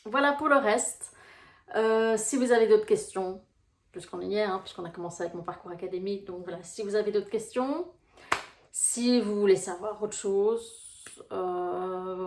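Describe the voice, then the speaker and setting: Belgian woman, Brussels accent, life coach; casual, filler-heavy chat, mid-range voice, echoey room. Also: mid-range voice. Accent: Brussels Belgian French. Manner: chatty, rambling, full of fillers. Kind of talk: casual talk to camera.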